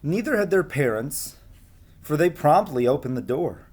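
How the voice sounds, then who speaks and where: Man reading aloud with very lively pitch. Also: moderate pace, husky. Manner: expressive, addresses young listeners directly. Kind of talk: storybook read-aloud with casual asides.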